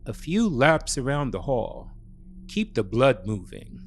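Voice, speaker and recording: A man voicing a calm male hospital doctor in a story, with careful, clinical phrasing. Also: mid-range voice, slow, a little hiss or hum.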